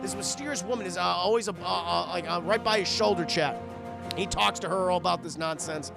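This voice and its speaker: High-pitched, creaky male cartoon review streamer. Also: fairly quick, music in the background. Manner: profane, acts out characters' dialogue excitedly. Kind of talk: animated scene-by-scene recap for live chat.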